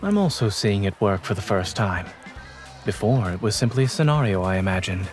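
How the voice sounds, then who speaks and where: An actor playing a calm, principled male doctor in a video game, with a composed, measured and deadpan delivery. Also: fairly low pitch, faint music behind. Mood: calm, dry.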